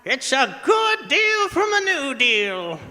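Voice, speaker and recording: A man's voice: extremely high pitch, huge pitch swings, takes it slowly, pushed and bright, heavily acted. A man playing a folksy, delighted old pensioner in an old-time radio ad, exclaiming in a hokey way on a theater stage. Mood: gleeful, folksy. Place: theater stage, live performance. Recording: hall reverb, music in background.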